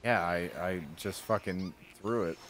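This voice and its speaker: A man's voice: low-pitched, fairly even intonation, a little slow, noisy background. A man in his thirties chatting casually and crudely as he plays a game on a stream, joking and swearing. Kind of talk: casual gameplay banter. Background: game music.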